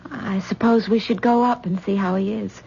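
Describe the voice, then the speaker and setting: A woman's voice: mid-range voice, fairly even intonation, moderate pace, creaky, mellow, slight background noise, close to an everyday voice. A woman playing a weary, hesitant young wife. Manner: subdued, hesitant. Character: weary young wife, Tessa, leaving husband. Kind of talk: 1970s radio drama acting. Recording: dull old radio broadcast recording.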